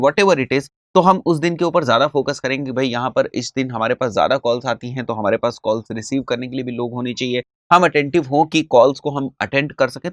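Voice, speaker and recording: A man's voice: mid-range voice, no echo. A man with an Indian accent explaining as a marketing instructor in a conversational, didactic way, mixing in English phrases and often checking in with short tags. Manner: mixes English terms and phrases into speech.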